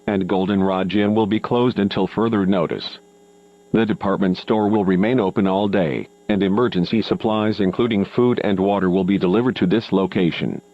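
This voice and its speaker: A man's synthetic-sounding voice delivers a scripted public emergency announcement in a formal, measured, impersonal manner. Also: lowish voice. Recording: dull lo-fi radio broadcast with hum.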